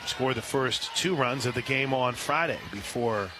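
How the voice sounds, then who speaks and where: An American man calling live softball play-by-play on the radio from an outdoor ballpark. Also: medium pitch, normal speed, background noise. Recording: loud outdoor ballpark ambience.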